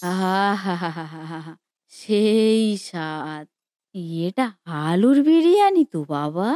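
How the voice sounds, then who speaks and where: A woman's voice: fairly low pitch, big pitch swings, very slow, mellow, dry recording, soft background music, full character voice. A woman voicing a kindly, elderly village granny in a cartoon story, affectionate and exclaiming as she addresses others like her children. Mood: delighted amazement. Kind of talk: Bengali cartoon story voice acting.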